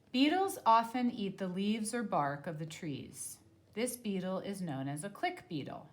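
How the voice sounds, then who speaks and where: A woman reading a children's nonfiction book aloud like a teacher, in a deliberate, clearly phrased manner. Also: fairly low pitch.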